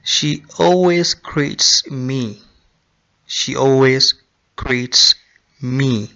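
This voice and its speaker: A man teaching English grammar, repeating example sentences slowly with frequent ums. He is from Nigeria. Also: medium pitch, vocal fry, sharp tone. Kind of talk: slow, deliberate grammar lesson. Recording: dull lo-fi sound.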